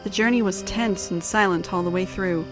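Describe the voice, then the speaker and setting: A woman's voice: mid-range voice, moderate pace. Woman narrating a story over music. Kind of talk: scripted storybook narration of an audio drama.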